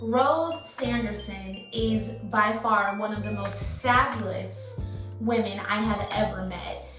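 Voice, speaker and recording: A woman's voice: mid-range voice, takes it slowly. A woman hosting an interview show, introducing her guest in a gushing, enthusiastic way. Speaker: host. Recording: echoey room with music behind.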